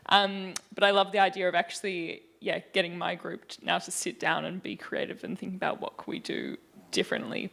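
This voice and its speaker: Gravelly-voiced group leader giving feedback. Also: mid-range voice, a bit bright. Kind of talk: workshop feedback.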